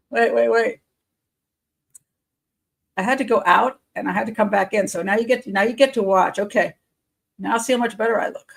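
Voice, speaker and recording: A woman who works as a criminal profiler hosts a casual live members' show, rambling and chatty, losing her voice and clearing her throat. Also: mid-range voice, normal speed, pauses often. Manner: chatty and rambling, with frequent fillers and soft laughs. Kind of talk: casual live-stream chat.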